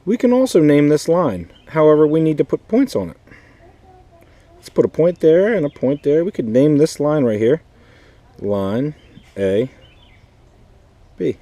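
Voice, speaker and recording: A man's voice: a little high, sing-song, a little slow, long pauses, mellow, no echo. An American man teaching an informal math lesson as a schoolteacher, repeating key points and asking checking questions. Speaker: fourth grade math teacher.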